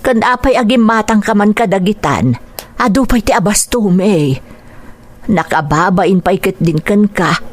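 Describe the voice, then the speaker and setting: A woman's voice: mid-range voice, animated, a little slow. An actress with an Ilocano accent playing a concerned, plaintive mother of a poor family in a radio drama. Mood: concerned, sorrowful. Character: anxious, grateful mother of a struggling poor family.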